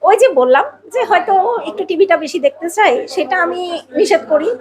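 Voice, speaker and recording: Give a woman's voice, notably high. She talks in a casual, personal interview style and is expressive, often repeating a phrase for emphasis. Voices of a crowd are clearly audible behind her. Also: fairly quick. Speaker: podcast guest, a mother.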